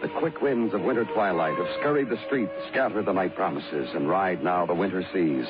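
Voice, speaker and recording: A muffled old radio crime drama with music behind it. A hardened American police detective played by a man speaks in a deep voice, terse with suspects and moodily poetic as he narrates the city. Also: a little fast, natural voice. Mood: brooding, poetic. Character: hardened male New York homicide detective narrating his case. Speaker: lead actor and narrator.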